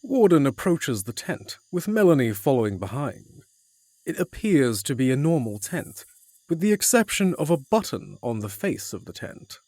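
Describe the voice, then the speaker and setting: A man's voice: mid-range voice, big pitch swings, normal speed, darkish tone, dry recording. A man narrating a scripted fantasy story in the third person.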